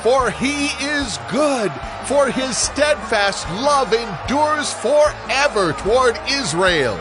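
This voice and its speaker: An actor playing a worshipping Levite singer, giving exultant, drawn-out praise in a dramatized scripture production. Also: male voice, very high voice, animated, over music, exaggerated voice.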